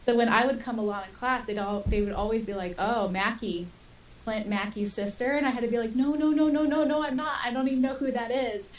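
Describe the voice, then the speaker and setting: A woman's voice: on the high side, a little fast. Woman chatting, distant from the mic. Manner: informal, rambling anecdotes. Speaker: podcast co-host. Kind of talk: casual conversational chat.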